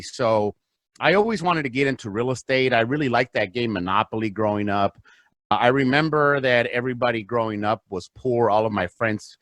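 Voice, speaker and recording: Low-voiced man telling his story. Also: fairly bright tone, no echo. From South Los Angeles, USA. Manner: earnest, repeats phrases for emphasis. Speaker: guest, real estate investor. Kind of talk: casual interview storytelling.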